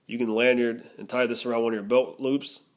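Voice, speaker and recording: Guy doing a laid-back product review, average pitch, explains stuff step by step. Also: steady tone, brisk. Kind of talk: casual product review narration.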